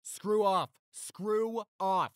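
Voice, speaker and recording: A man's voice: sits high, extremely slow, noisy background. A man playing a protective, tender young boyfriend who turns fierce toward bullies, in an intimate audio roleplay. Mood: furious, protective. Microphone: close studio microphone.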